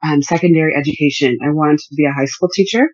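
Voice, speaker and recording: A counselor from Uganda, reflecting in a casual conversation in a measured way. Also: mid-range voice, no echo, lo-fi sound. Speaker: counselor and guest.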